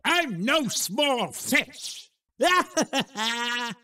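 An actor voicing a cocky, taunting shark-like alien racer in a cartoon video game, boastful and exclamatory. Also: male voice, extremely high pitch, sing-song, extremely slow, pushed and bright, recorded from a distance, full character voice. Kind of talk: video game character barks.

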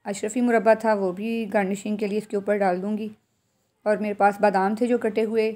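A woman's voice: mid-range voice, flat delivery, normal speed, warm tone. A woman with a Pakistani accent narrating a recipe step by step as a home cook, addressing viewers directly and instructively. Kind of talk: step-by-step cooking tutorial voice-over.

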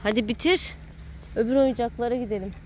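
A woman with a highish voice talks casually to a child at a playground, narrating along in a playful way. She coaxes and teases as she goes. The home-video sound has light outdoor noise behind her. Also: a little fast. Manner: encouraging, teasing, coaxing. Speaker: adult filming and cheering on a child at play. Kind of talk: casual playful running commentary.

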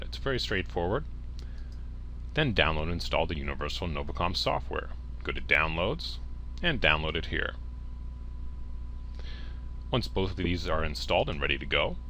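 A man's voice: deep voice, bright, forceful tone, a little hiss or hum. A man presenting a step-by-step tech tutorial in a plain-spoken, instructional way, addressing the viewer directly. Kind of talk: step-by-step software tutorial narration.

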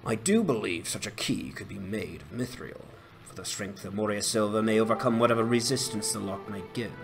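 Man playing a grave, low-voiced elf lord. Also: gravelly, low-quality recording. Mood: solemn counsel. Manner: formal, solemn, measured. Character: noble, grave male elf lord of great age. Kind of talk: dramatized fantasy game quest dialogue. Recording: voice over music.

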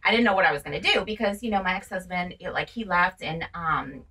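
A woman's voice: lowish voice, fast talker, pushed and bright, husky, recorded from a distance. A woman, a guest chatting casually and laughing often in a radio studio. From Massachusetts, near Boston. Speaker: guest, realtor and reality TV star. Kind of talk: casual interview chat. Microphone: studio boom mic with headphones.

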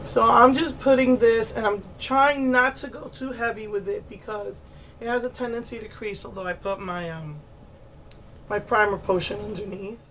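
A woman's voice: mid-range voice, steady tone, normal speed, a bit bright, a little hiss or hum, poor audio. A middle-aged woman, still congested and getting over laryngitis, chatting her way through a makeup tutorial with many ums, close to the camera in a small room. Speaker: makeup vlogger. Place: indoors, small room against a pale blue wall. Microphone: camera close to the face.

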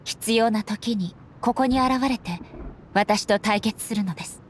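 A woman's voice: mid-range voice, a little hiss or hum, natural voice. Woman acting solemn, formal warrior goddess, faint ambience. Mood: calm, resolute. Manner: formal, measured, grave. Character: solemn, resolute warrior goddess and her stern puppet shogun. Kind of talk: Japanese anime game voice acting.

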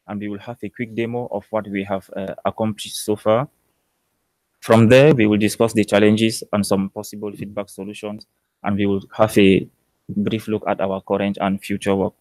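Low-pitched Cameroonian man presenting. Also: darkish tone, no echo, rough recording. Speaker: PhD student presenting research. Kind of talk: technical conference presentation. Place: indoor presentation room.

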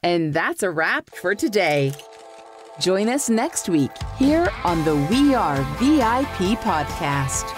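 A woman's voice: medium pitch, very animated, slow pace. A woman reading a scripted podcast outro. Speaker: podcast announcer. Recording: clear background music.

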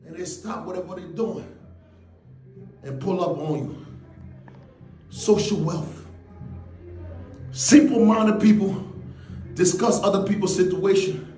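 A man's voice: sits high, takes it slowly, pauses often, mellow. Male motivational speaker, fiery, preachy delivery, reverberant room sound. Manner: fiery, preachy, hammering rhetorical questions. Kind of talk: shouted motivational speech.

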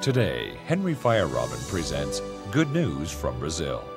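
A man announcing a program introduction in a slow, deliberate way. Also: rumbling low, huge pitch swings. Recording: voice-over with music behind.

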